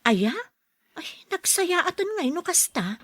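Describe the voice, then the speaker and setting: A woman's voice: very high-pitched, sing-song, measured pace, fairly bright tone, dry recording, clean studio sound, exaggerated voice. A woman playing a humble, needy widowed single mother in an Ilocano radio drama. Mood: chatty, plaintive.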